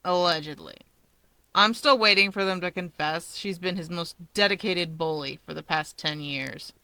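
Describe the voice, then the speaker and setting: A woman voicing a sardonic, bored young man with a dry, deadpan drawl in a fan fiction audiobook. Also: lowish voice, normal speed, clean tone, no echo.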